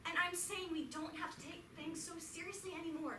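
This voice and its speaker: A woman's voice: very high voice, fairly even intonation, fairly quick, sharp tone, slight background noise. A woman reading the part of a frank, affectionate middle-aged wife in a staged play reading. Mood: earnest. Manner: earnest stage reading, rising to exasperated outbursts. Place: theatre auditorium. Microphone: distant stage pickup. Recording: echoey theatre taped from far off.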